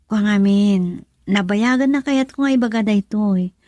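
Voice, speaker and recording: A woman's voice: medium pitch, normal speed, mellow, no echo, close to an everyday voice. A woman playing a meek, wistful young wife and trained nurse in an Ilocano radio drama. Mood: hesitant.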